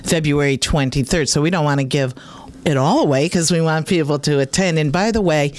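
A woman's voice: deep voice, a little fast, a little mellow. A woman hosting an interview, chatty and encouraging, who promotes an upcoming event and laughs often. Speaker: host. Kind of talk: community talk show interview.

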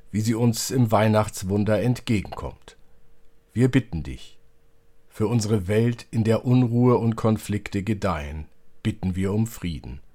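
Slow, low-voiced man praying solemnly. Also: mellow. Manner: solemn, measured phrasing. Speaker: clergyman leading prayer. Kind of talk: liturgical prayer, church service podcast.

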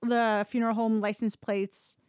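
A woman's voice: on the high side, little pitch movement, normal speed, darkish tone, clean tone, no room sound, clean studio sound. A Canadian woman telling stories casually on a podcast, candid and sweary, often acting out dialogue as she goes. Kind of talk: casual conversational storytelling.

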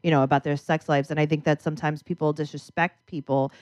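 Blunt, joking low-voiced woman co-host. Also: little pitch movement, fast talker, darkish tone, dry recording, clean studio sound. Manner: blunt, joking, curious questions. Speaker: podcast co-host. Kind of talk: casual podcast chat.

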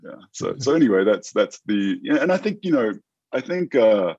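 A New Zealand man in his fifties with an American accent, a tech entrepreneur talking through an interview in a halting way, full of restarts and fillers. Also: fairly low pitch, big pitch swings, darkish tone, breathy edge, no echo, dull audio. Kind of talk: casual interview chat.

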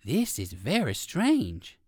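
A man voicing a polite, curious old gentleman rabbit in a children's storybook, expressive and kindly. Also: medium pitch, takes it slowly, slightly rough, dry recording, clean studio sound, full character voice. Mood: pleased, musing.

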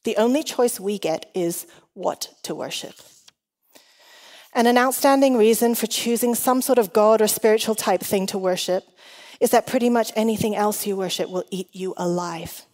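A woman's voice: medium pitch, normal speed, darkish tone. A middle-aged woman with a mixed, shifting international accent, preaching conversationally with chatty, self-deprecating humour. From Hong Kong. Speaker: guest preacher. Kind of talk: conversational sermon to a congregation. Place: large reverberant hall. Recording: long hall reverb.

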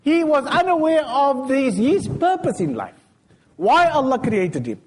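A man's voice: very high voice, unhurried. A man giving a sermon in a mosque, telling stories casually in everyday speech. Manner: storytelling, retelling scenes in everyday speech with lively quoted dialogue. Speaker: sheikh giving a Friday talk.

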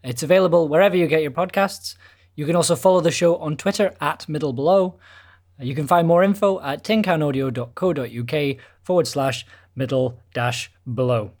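A man's voice: a little high, a little fast, a little mellow. A man signing off as the podcast's creator and host, earnest and grateful, now and then stumbling over his words. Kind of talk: scripted outro thanks.

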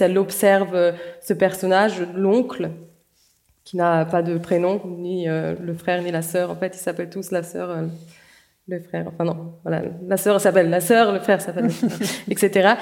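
A woman's voice: fairly low pitch, fairly even intonation, fairly quick, a little mellow. A woman novelist answering interview questions about her first book, thoughtful and hesitant, with frequent restarts and fillers. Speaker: novelist guest.

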